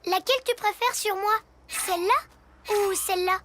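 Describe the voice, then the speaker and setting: Very high-voiced, eager little superhero boy. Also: moderate pace, a bit bright, a little hiss or hum. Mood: cheerful, gentle. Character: brave, eager young boy superhero who loves his colours. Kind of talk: French cartoon dub acting. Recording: music behind the voice.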